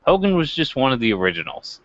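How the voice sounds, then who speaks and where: A man's voice: medium pitch, very animated, fast, a bit bright, dry recording, muffled recording. A man debating casually as a review co-host, insistent and sweary, repeating his points.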